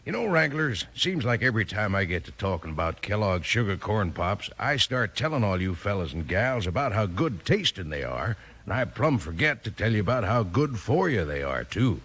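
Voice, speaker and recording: A male announcer from old-time American radio gives a sales pitch. His lowish, husky voice swoops in a lively, folksy cowboy style, full of enthusiasm. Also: normal speed, hardly any pauses.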